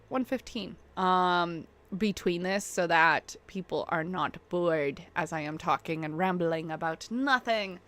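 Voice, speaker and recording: A woman's voice: medium pitch, fairly bright tone, no echo. A young woman streamer, chatting casually and rambling, self-deprecating and laughing often. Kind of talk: casual chat while waiting on a stream.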